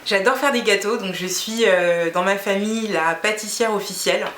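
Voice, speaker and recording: A woman's voice: mid-range voice, normal speed, a bit bright, roomy sound, slight background noise. A woman in her thirties from Guinea whose first language is Fula, chatting casually to camera with many fillers and restarts. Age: 30s. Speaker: beauty vlogger.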